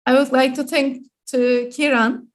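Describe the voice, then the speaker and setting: A woman's voice: high voice, level tone, a little slow. Turkish-accented woman hosting a webinar. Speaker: webinar host and moderator. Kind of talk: hosting an online webinar, semi-formal.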